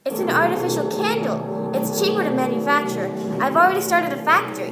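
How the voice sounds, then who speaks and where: Woman as a high-voiced boastful inventor. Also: animated, a little fast. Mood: proud. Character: boastful Yankee inventor, the king's right-hand man. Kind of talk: amateur radio play acting. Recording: roomy live room, music behind.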